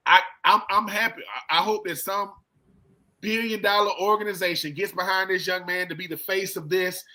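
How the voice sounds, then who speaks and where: High-voiced, bright man telling sports stories. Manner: colloquial, repeats himself, acts out quoted exchanges. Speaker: sports show co-host and former football player. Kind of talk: casual, animated sports storytelling.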